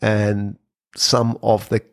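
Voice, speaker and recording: Guy chatting through a talk, lively and loose, lots of "sort of", dry close sound. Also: lowish voice, very slow, no room sound. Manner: lively and conversational, with frequent "sort of" hedges. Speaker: presenter on brand podcasting. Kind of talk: informal explainer talk.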